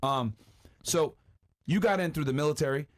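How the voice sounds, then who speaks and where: A man hosting an informal interview, prompting his guest with short questions and quick acknowledgments. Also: a little high. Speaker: podcast host, interviewer. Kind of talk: casual interview.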